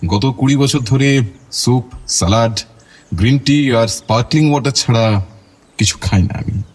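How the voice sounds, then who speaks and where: An actor playing a proud veteran film star under suspicion, measured and self-assured but curt, in an audio drama. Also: male voice, medium pitch, darkish tone, husky, natural voice. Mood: curt, irritated. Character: proud veteran film star, a controlled, irritated murder suspect. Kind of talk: Bengali audio drama acting.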